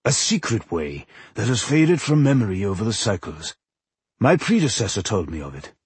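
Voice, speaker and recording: A man performs an elderly dwarf High King from a fantasy storybook. The voice is low and very husky, with a lively rise and fall. He speaks slowly and deliberately, frail yet wise and solemn. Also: dry recording, full character voice. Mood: reminiscing, secretive. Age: older.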